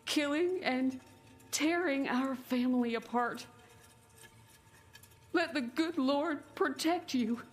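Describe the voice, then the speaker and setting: A devout, earnest woman of the frontier in a dramatic video game voice-over. Her voice is high and very slow, with solemn, pleading delivery as she reads out letters.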